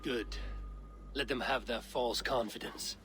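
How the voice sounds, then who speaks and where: An actor playing a solemn, commanding Viking warrior leader in a video game, speaking tersely. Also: male voice, mid-range voice, fairly even intonation, unhurried, sharp tone. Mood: grim resolve. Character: resolute Viking warrior leader rallying an army. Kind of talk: dramatic video game acting. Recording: game audio with background noise.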